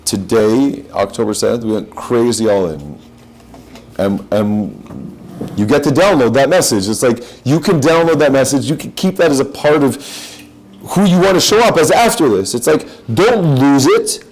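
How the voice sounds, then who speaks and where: A man's voice: mid-range voice, animated, moderate pace, darkish tone, low-quality recording. A man giving an impassioned spiritual talk, urging listeners with challenging questions.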